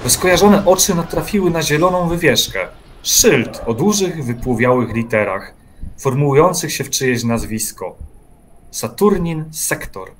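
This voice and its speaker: A man narrating a dark literary radio play in a measured storytelling manner. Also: fairly high pitch, animated, a little hiss or hum, lightly acted, low-quality recording. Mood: measured, atmospheric.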